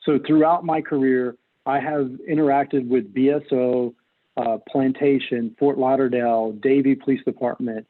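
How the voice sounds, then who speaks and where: A middle-aged American man giving earnest, measured answers as a political candidate in an interview. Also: mid-range voice, fairly even intonation, phone-quality sound. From North Carolina, United States. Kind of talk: interview answers, campaign talk.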